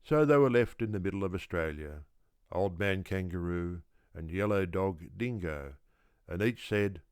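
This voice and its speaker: A man with a very deep voice narrates a children's story. His delivery is slow and deliberate, with rhythmic, theatrical phrasing. The recording is close and very dry. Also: lively intonation, clear, resonant tone, no echo. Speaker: audiobook reader.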